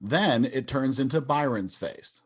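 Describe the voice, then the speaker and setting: A man's voice: medium pitch, animated, a little slow, fairly bright tone, breathy edge. A man reading scripted stage directions as the narrator of a sitcom table read. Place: indoors, on a video call.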